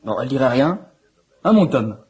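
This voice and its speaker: A young actor playing a plain-spoken, defensive teenager who dotes on a dog, in a naturalistic radio drama. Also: male voice, mid-range voice, very animated, normal speed, a little mellow, breathy edge. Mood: tender, doting.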